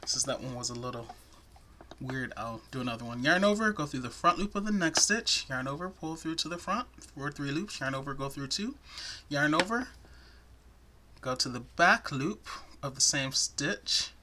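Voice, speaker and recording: A man teaching crochet step by step in a soothing, soft-spoken voice, chatting between stitches. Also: mid-range voice, animated, moderate pace, noisy background. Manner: soft-spoken, chatty, self-deprecating asides. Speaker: crochet tutorial host.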